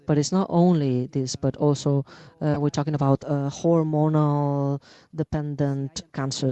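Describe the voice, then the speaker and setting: Halting man giving a medical lecture. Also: fairly high pitch, mellow, dry recording. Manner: halting, many fillers and restarts. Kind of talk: conference lecture.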